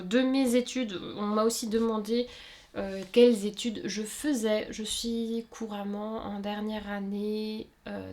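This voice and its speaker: A woman's voice: mid-range voice, a little mellow. Twenties woman, rambly casual chat to her viewers, lots of euhs and restarts. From Luxembourg. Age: 20s. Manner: chatty and rambling, many fillers and self-corrections. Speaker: YouTuber answering viewer questions.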